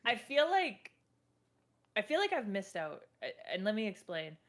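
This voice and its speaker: A young woman guesting on a stream over a voice call, loud, playful and quick to laugh. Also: medium pitch, big pitch swings. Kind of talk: casual chat over voice call. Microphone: voice call mic.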